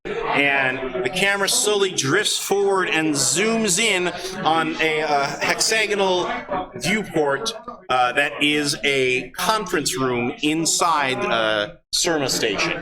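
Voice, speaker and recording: Man, game master, fairly high voice, halting improvised narration, many uhs, live convention with loud crowd. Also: lively intonation, poor audio. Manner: halting, with frequent drawn-out uhs. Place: live show at a gaming convention.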